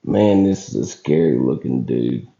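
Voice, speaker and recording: A man with a rough, scratchy, hoarse voice, recovering from illness, giving casual commentary on footage as a channel host in a folksy, halting, colloquial way. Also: a bass voice, lively intonation, dark tone. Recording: dull lo-fi recording.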